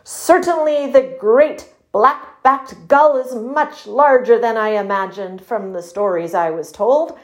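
A woman voicing a small male puffin officer in a storybook, pompous and heroically defiant, declaiming like a military commander. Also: fairly high pitch.

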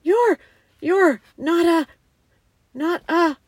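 A woman's voice: high voice, big pitch swings, moderate pace, vocal fry, dry recording, studio quality, recorded from a distance, voice pushed up, heavily acted. A woman voicing a timid, earnest older girl from a storybook, with a hesitant, shaky delivery. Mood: shaky, awed.

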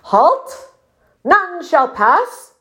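A tiny male puffin major from a storybook, acted by a woman. The voice is high and commanding, with pompous, heroic military bluster in slow, declamatory phrases. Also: lively intonation. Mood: defiant challenge.

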